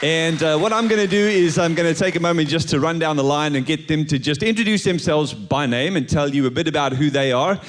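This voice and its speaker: A man's voice: on the high side, fairly quick, hardly any pauses, some chatter behind. A man hosting an onstage panel interview at a church service, upbeat and with many filler uhs. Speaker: host. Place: church stage at a live service.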